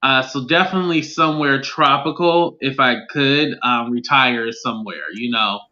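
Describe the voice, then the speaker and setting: A man's voice: mid-range voice, normal speed, bright, forceful tone. A man speaking as a guest on a casual live chat over a call, easygoing and agreeable, often laughing along. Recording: dull lo-fi call audio.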